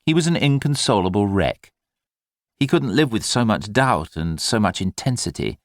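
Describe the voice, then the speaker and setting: A male audiobook narrator reads scripted literary fiction. His voice sits fairly low and moves with very lively intonation. The delivery is measured and expressive, and the sound is very dry. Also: dry recording.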